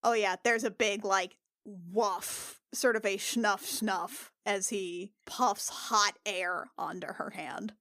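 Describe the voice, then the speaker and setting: Woman, mid-pitched, casual tabletop player, descriptive, thinking aloud, close dry recording. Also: fairly even intonation, takes it slowly, hardly any pauses, fairly bright tone, dry recording. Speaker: player in a tabletop role-playing podcast. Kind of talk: casual improvised game narration.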